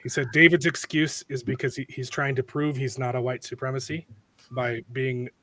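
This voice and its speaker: Hoarse male podcast host, dry sound. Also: medium pitch, flat delivery, moderate pace, pushed and bright, no echo. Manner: rambling, with restarts and self-deprecating asides. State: hoarse, losing his voice from a cold. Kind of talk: casual podcast chat.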